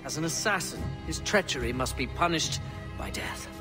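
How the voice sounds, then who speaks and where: An actor playing a distraught, self-pitying drunken assassin in a melodramatic video game cutscene. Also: male voice, fairly high pitch, animated, moderate pace, over music, natural voice. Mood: sober, bitter. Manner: theatrical, melodramatic, swinging between whining and outbursts.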